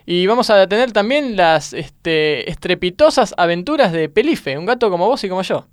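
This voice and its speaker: Higher-voiced Argentine man on comedy radio. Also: lively intonation, normal speed, clear, resonant tone, no room sound, clean studio sound. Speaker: radio comedy show host. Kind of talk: casual radio banter and segment announcing.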